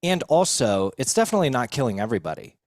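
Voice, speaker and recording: A man's voice: mid-range voice, very animated, quick pace, darkish tone, no echo, studio quality. A young American man talking earnestly and informally as a guest in a casual podcast conversation. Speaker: podcast guest, recovery video producer.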